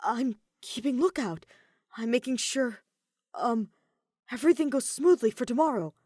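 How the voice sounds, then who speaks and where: A woman voicing an anxious, evasive teenage boy in an audio story, earnest and hurried in his reassurances. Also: a little high, animated, mellow, no echo, studio quality, pitched above the performer's own voice. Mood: flustered excuses.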